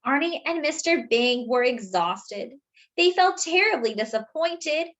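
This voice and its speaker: Animated woman reading a picture book. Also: high voice, a little slow. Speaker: children's storyteller. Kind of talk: animated picture-book read-aloud with asides to young listeners.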